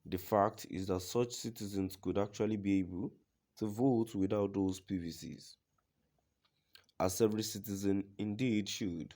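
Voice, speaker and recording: A man with a very deep voice reads the news from a script. His delivery is formal and deliberate, in the manner of a news reader presenting a written report. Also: fairly even intonation, dark tone.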